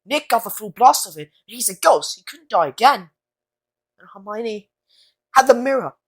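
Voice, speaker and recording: A woman playing an earnest, quick-thinking boy wizard in an audio drama, speaking with deliberate conviction. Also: fairly high pitch, animated, slightly rough. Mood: urgent realisation. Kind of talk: fan audio drama acting.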